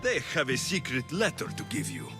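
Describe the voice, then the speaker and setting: An actor playing a protective young mercenary hero in a video game, who turns menacing when angered. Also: male voice, on the high side, very animated, normal speed, over music. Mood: confronting. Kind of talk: dramatic video game acting.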